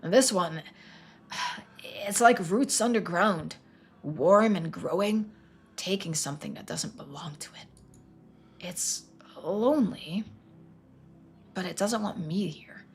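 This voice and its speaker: Woman playing a haunted, hushed young man. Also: lowish voice, takes it slowly, pauses often, a little hiss or hum. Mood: anxious, haunted.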